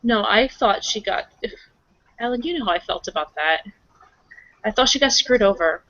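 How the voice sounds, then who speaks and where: A woman playing an outspoken, hopeful, excitable member of a werewolf pack in a tabletop roleplaying game. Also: mid-range voice, normal speed, no room sound, dull audio. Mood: indignant. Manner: emphatic, frank, casual.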